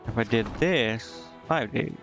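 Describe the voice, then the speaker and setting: Gravelly male game streamer, music behind. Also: medium pitch, a little slow. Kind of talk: casual gaming stream commentary, reading game text aloud and thinking out loud.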